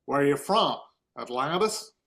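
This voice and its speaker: A man playing a gruff, weary sheriff with a grumbling, folksy manner in a comedic table read. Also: a little high, animated, moderate pace, a bit bright. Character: gruff, weary small-town male sheriff.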